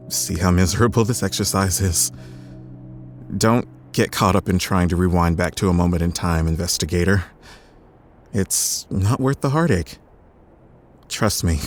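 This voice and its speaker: A bitter, sardonic scientist. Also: male voice, low voice, faint music behind. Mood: weary. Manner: weary, sardonic, quickening when excited. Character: gruff middle-aged male paleontologist, bitter and stubborn. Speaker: doctor running a research center. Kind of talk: audio drama acting.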